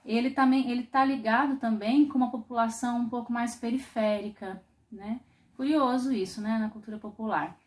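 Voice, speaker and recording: A woman from Brazil teaching a popular singing class, explaining in a thoughtful way with frequent pauses and restarts. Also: fairly high pitch, fairly even intonation. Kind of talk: online music lesson.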